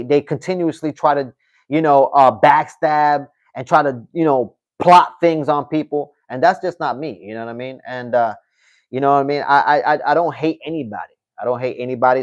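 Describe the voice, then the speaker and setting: A man's voice: on the high side, a little mellow, muffled recording. A man hosting a casual livestream, reading out viewer comments and answering them in a loose, chatty way, often adding "you know what I mean.". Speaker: livestream host.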